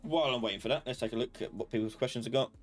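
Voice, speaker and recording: A man's voice: medium pitch, rapid-fire. Informal man teaching live, music behind. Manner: informal, muttering and humming while working. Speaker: host, software instructor. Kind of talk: casual live tutorial with thinking aloud.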